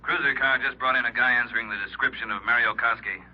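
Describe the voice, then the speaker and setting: A man acting a brisk, authoritative police chief in an old radio crime drama. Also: medium pitch, steady tone, breathy edge, natural voice. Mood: brisk, commanding. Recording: dull, noisy old radio recording.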